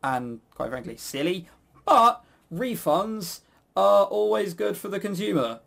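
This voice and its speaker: A man's voice: sits high, expressive, a little slow, vocal fry, a bit bright. A British man hosting a casual podcast, talking in a rambling, blunt, sweary way with frequent stammered false starts. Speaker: podcast host. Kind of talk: casual opinionated chat.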